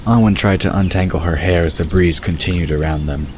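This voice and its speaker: Male narrator, extremely deep, measured storytelling; hissy recording. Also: darkish tone. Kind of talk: scripted audiobook narration. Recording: hissy recording with bird ambience.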